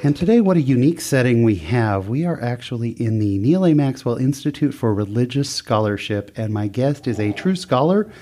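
A man's voice: mid-range voice, animated, brisk, few pauses, dark tone, dry recording, soft background music. Upbeat male podcast host, dry close mic. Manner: enthusiastic, effusive praise. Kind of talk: podcast intro and interview.

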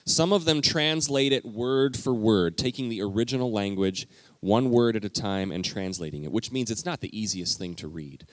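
A man preaching a conversational teaching sermon in a church, talking directly to the congregation with lively emphasis. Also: on the low side, normal speed, dry recording. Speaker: pastor. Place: church sanctuary.

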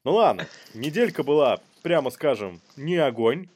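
A man's voice: medium pitch, sing-song, moderate pace, a little mellow, dry recording, faint music behind. A man co-hosting a comedy news show, mixing read-out items with playful, irreverent, slangy commentary. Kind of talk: news read-outs mixed with casual joking commentary.

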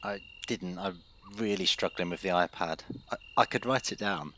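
An actor playing a hapless, apologetic doctor stuck as a cult's clerk in a comedy audio drama, mumbling and matter-of-fact. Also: male voice, low-pitched, normal speed, a bit bright. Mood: flustered, apologetic.

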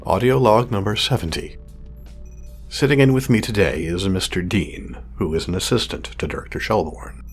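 A man playing a proud, exacting craftsman of android companions in an audio drama, speaking in a formal, measured, condescending way. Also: fairly low pitch, faint music behind, close to an everyday voice. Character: pompous male craftsman of artificial companion drones, exacting, proud. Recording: clear background noise, sci-fi lab ambience.

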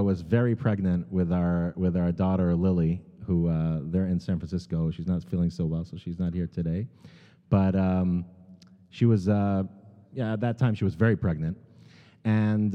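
A middle-aged American man giving a sermon as a guest speaker, halting and earnest, with many ums and restarts. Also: on the low side. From United States. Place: large synagogue sanctuary. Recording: long hall reverb, dull sound.

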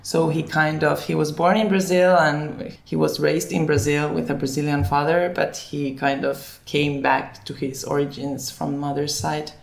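Guest: woman from Finland, low gravelly voice, hesitant and plainspoken, roomy sound. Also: little pitch movement, moderate pace, few pauses. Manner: plain, brief answers, hesitant. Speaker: guest, business founder. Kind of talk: casual interview chat.

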